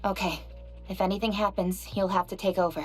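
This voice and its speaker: An actress voicing a cautious adult woman in a game cutscene, speaking in a measured way. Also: medium pitch, little pitch movement, natural voice. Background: game music.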